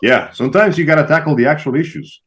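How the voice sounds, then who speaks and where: A man's voice: fairly high pitch, fast talker, fairly bright tone. A man co-hosting a casual online talk show, playful and full of jokes. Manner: playful, jokes in mock Italian. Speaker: podcast co-host. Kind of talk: casual comedic chat.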